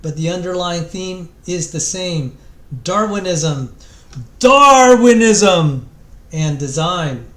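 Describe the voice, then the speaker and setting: A high-pitched male voice put on by a man declaims a book introduction in an echoey room. The delivery is theatrical and solemn, with grand dramatic pauses and the odd stumble over a repeated word. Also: expressive, a bit bright, heavily acted. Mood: grandly solemn. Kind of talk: mock-dramatic reading aloud.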